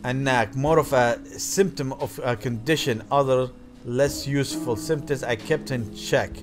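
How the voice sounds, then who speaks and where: A man's voice: medium pitch, measured pace. A man hosting a gaming video reads visual novel text aloud with theatrical emphasis, stumbling now and then and dropping in offhand comments. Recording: music behind the voice. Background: game music.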